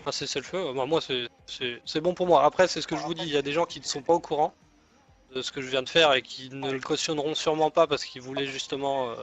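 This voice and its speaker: A man's voice: mid-range voice, fairly bright tone, close to an everyday voice. A man playing a deferential gang negotiator in an online roleplay, speaking in a hesitant, rambling, conciliatory way. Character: deferential male gang negotiator offering an alliance. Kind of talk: improvised online roleplay dialogue. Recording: muffled lo-fi game voice chat.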